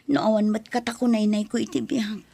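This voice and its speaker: A woman's voice: medium pitch, flat delivery, brisk, gravelly, mellow, breathy edge, no room sound. An actress with an Ilocano accent playing a meek, distraught pregnant young woman in an audio drama, plaintive and pleading.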